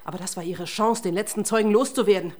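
A woman's voice: medium pitch, fast talker, close to an everyday voice. A woman playing a tough, probing police inspector in a crime radio play, speaking in a pointed and controlled way. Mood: accusing, insistent. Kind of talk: German crime radio drama acting.

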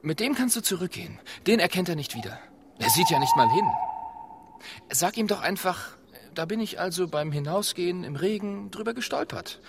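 A bossy, unsentimental killer in a theatrical radio play. His speech is clipped and commanding, with very lively swings in pitch. The recording is clearly noisy, with clicks. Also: normal speed. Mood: urgent, pushing. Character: impatient male head-hunting killer, bossy and unsentimental.

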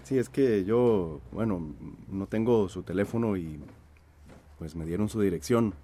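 Actor as a slow, halting, sickly man. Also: sits low, dark tone, slight background noise. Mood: hesitant, unwell. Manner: hesitant, halting, apologetic. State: sick, with a cough. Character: shy, halting sick man visiting a healer. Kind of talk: radio drama acting.

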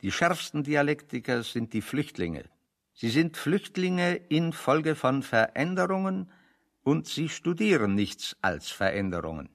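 An actor playing a bookish, wry refugee intellectual in a radio play, expounding philosophy in a pedantic, dryly ironic way. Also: male voice, on the high side, sing-song, measured pace. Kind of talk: radio play dialogue, discursive monologue.

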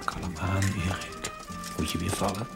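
A man's voice: deep voice, level tone, a little slow, creaky, loud music behind the voice. A man playing a stern, teasing guardian angel in a television drama, dry and admonishing. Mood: cautioning. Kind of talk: 1970s television drama acting.

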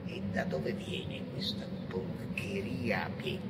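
An actor playing a frenzied, blasphemous visionary poet in an avant-garde radio monologue, declaiming theatrically and lurching between whispers and shouts. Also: male voice, low-pitched, takes it slowly, heavy background noise. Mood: bitter, mocking. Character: frenzied, blasphemous visionary poet ranting against God and man.

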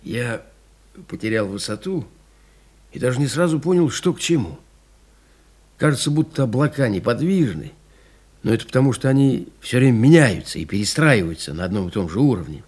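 An actor playing a rugged, proud aviator telling of his ordeal in a restrained, intense dramatic monologue with a husky voice. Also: mid-range voice, expressive, brisk, a little mellow. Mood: weary, matter-of-fact. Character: rugged surviving male aviator recounting his mountain ordeal, proud and plainspoken. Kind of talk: dramatic first-person monologue in an audio play.

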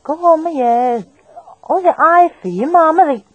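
A woman's voice: sits high, lively intonation, measured pace, narrow, tinny audio. A woman playing a blunt, teasing, nosy young woman in a radio drama, with slangy banter and a Hong Kong accent. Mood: nosy, insistent. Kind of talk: 1990s radio drama acting. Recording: radio drama with music underneath.

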